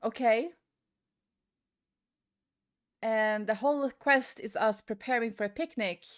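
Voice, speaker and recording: A woman with a fairly high voice talks casually, as in a gaming rant video. She rambles through her opinions, hesitating and circling back to repeat her words. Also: normal speed.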